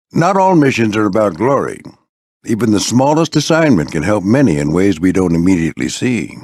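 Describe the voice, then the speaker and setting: An older American man voicing a gruff veteran warplane flight instructor in a video game, husky and folksy, coaching firmly but with encouragement. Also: lowish voice, dry recording, studio quality, exaggerated voice. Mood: gruff approval. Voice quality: gravelly, husky. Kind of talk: scripted video game character lines.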